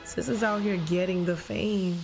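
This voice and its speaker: A young woman livestreaming a life-sim game, chatting casually with her viewers in a lively, joking, profane way on a headset mic. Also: mid-range voice, normal speed, vocal fry, rough recording. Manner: lively, joking, talks back to chat, profane slang. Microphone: headset mic at desk. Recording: game music playing behind.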